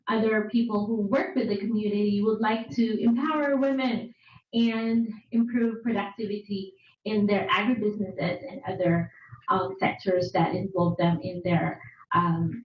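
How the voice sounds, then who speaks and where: A woman from the Philippines introducing herself on a panel as a researcher, earnest with pauses. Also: mid-range voice, a little hiss or hum. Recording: echoey room, dull sound.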